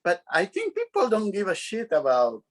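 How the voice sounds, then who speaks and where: A middle-aged man being interviewed, talking frankly in a halting, self-conscious way. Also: high-pitched, wildly swooping pitch. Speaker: interview guest. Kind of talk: casual interview chat.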